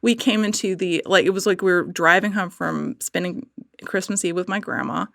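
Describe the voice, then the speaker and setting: A woman chatting casually as a podcast guest, telling animated, digressive stories in an effusive, theatrical manner. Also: on the low side, moderate pace, vocal fry, no room sound, studio quality. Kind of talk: casual chat, animated storytelling.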